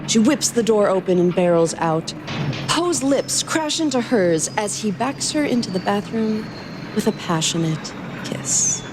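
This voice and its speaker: With loud music behind her, a woman speaks as a podcast co-host at a middling pitch. Her delivery is that of banter and read-aloud story narration. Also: lively intonation, measured pace, poor audio.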